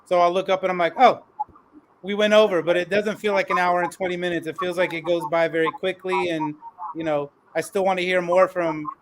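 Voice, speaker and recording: A male co-host with a distinctly high voice talks in a casual, conversational style. Clear noise runs behind him on the call. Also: level tone, brisk. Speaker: co-host of a panel show.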